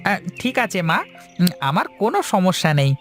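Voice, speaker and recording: A man's voice: sits high, very animated, a little fast, fairly bright tone, faint music behind. A man voicing an eager, willing young village son in a cartoon fairy tale.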